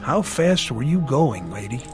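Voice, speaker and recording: An actor playing an easygoing, folksy roadside mechanic in a radio drama, laconic and reassuring. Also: male voice, mid-range voice, big pitch swings, a little slow, music in the background.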